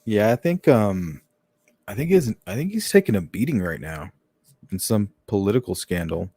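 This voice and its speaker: Mellow-voiced streamer chatting with viewers. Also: male voice, on the low side, expressive, normal speed, slightly rough, dry recording, clean studio sound. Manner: joking, chatty asides to viewers. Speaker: livestream host reading chat. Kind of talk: casual live chat.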